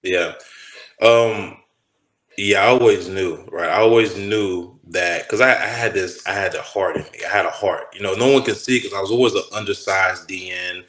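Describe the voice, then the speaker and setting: A man in his thirties from Texas, a former pro football player, talking in a relaxed, rambling way with many restarts as an interview guest. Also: sits low, moderate pace, reverberant, low-quality recording. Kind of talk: casual interview talk.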